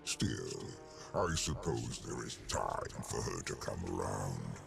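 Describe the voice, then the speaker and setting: An actor playing a huge, pompous alien emperor in a video game, savoring words with silky menace and gravelly grandeur. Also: male voice, a bass voice, very animated, slow, exaggerated voice. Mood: wounded, smug. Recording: music behind the voice.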